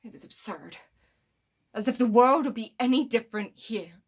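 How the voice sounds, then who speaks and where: A brooding killer with psychic powers delivers a dramatic monologue, acted by a woman in a fairly high voice. The delivery is embittered and coldly superior, with heavy pauses that sink into breathy whispers. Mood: quiet, wounded.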